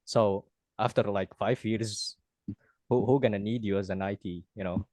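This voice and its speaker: A young man from Kalimantan talks in a low voice, full of restarts and self-doubting laughs. The sound is dry and close, with no room around it. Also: steady tone, normal speed, darkish tone, dry recording. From Kalimantan, Indonesia. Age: young adult. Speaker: IT student and debate newcomer. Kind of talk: casual chat.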